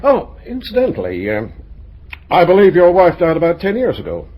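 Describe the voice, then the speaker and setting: An exasperated middle-aged dad in an old radio comedy. His voice is husky and fairly high, swinging up and down as he blusters. He stumbles over words when flustered. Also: huge pitch swings, normal speed, highs cut off, exaggerated voice. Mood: jovial, teasing. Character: exasperated middle-aged father fond of a drink.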